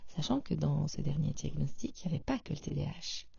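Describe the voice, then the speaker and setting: Gravelly, husky-voiced woman speaking softly. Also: sits low, expressive, fairly quick, dry recording, lo-fi sound. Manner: soft, half-whispered and hesitant, with many fillers. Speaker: podcast host. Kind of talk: intimate personal monologue.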